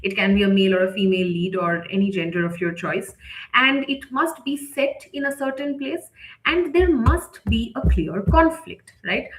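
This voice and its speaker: A woman with a fairly high voice presents a live lesson as an editor. She explains things point by point and often checks in with a quick tag question. The sound is noticeably roomy and echoing. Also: fairly bright tone. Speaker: editor leading a writing session.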